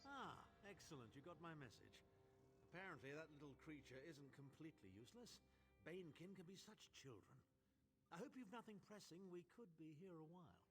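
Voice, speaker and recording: An actor voicing a scheming, condescending imperial noble in a video game, with a haughty, sardonic and articulate delivery. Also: male voice, on the high side, expressive, fairly quick, a bit bright, soft background music, rough recording. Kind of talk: video game character dialogue.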